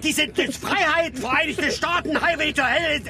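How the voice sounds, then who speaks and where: A man's voice: high-pitched, sing-song, brisk, crowd noise. A man acting in a German radio drama as a volatile working-class father, agitated and repeating himself as he talks. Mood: furious, impassioned. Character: volatile working-class father on a road trip, fiercely defensive. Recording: music behind the voice.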